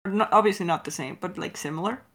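A woman in casual, unscripted chat with a co-creator, giggly and teasing, with an expressive way of talking. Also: fairly low pitch, quick pace. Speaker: audio drama creator answering listener questions.

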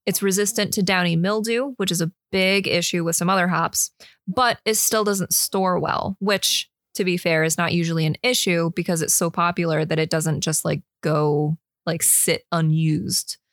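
A woman's voice: on the low side, fairly even intonation, moderate pace, fairly bright tone, dry recording, clean studio sound. A woman co-hosting a casual beer podcast, reading out her research notes with easy asides.

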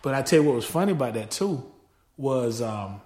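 A man guesting on a podcast, telling stories in a loud, animated way full of street slang and acted-out voices. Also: mid-range voice, brisk, roomy sound. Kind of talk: casual podcast chat.

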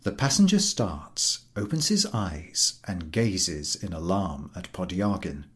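A man's voice: low voice, sing-song, slow pace. Man reading a classic short story. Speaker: story reader. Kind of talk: scripted literary narration.

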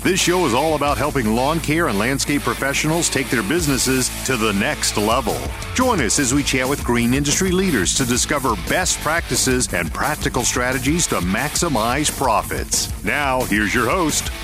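A man's voice: medium pitch, animated, normal speed. A man reading a scripted podcast intro in an upbeat announcer style. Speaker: show announcer. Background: guitar music.

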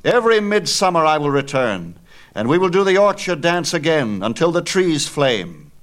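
Exultant young male hero , played by a male narrator, boastful, raised high and ringing out loud in a storybook myth. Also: very animated, normal speed. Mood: exultant, calling out. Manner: declaiming, calling out loudly. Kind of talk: dramatic storybook reading of myth.

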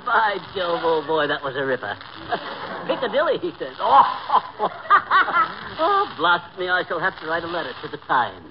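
Jolly pompous English gent, fake British accent, put on by a man, very animated, muffled 1940s radio comedy. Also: a little high, normal speed. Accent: mock British. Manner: hearty, affected. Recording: noisy, muffled old radio broadcast.

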